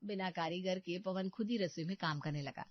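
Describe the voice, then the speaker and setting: Low-pitched woman narrating a story, dull sound. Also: normal speed, dry recording.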